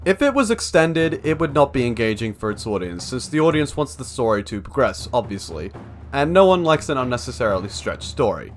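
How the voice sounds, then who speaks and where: Young male video essayist, scripted explainer read, animated intonation, music underneath. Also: mid-range voice, brisk. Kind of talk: scripted narration of a video essay analysing story structure.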